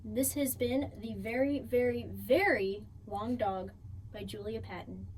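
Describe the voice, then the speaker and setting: A woman reading a picture book aloud for children in an expressive storytelling style, drawing out key words. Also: fairly high pitch, unhurried. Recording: distant mic, faint background noise.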